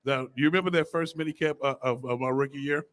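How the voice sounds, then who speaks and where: A man's voice: medium pitch, steady tone. A middle-aged American man, a former football player, arguing on a sports panel, cutting in and repeating his words. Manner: argumentative, interrupting, halting repeats. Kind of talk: heated sports-panel banter.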